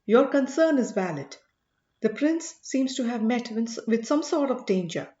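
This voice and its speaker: An earnest, probing sage voiced by a woman narrator with a high voice and measured authority. The delivery is emphatic audiobook dialogue. The recording is muffled, with plenty of room echo. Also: expressive, warm tone. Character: commanding male sage, earnest, probing, mildly excitable.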